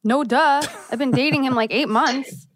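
A woman's voice: sits high, moderate pace, no echo, clean studio sound, lightly acted. A woman playing a snippy, sarcastic, exasperated teenage girl in a TV teen drama table read.

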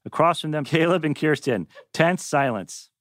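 A man's voice: on the high side, lively intonation, normal speed, no echo, clean studio sound. Man reading screenplay stage directions. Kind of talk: scripted narration at a table read.

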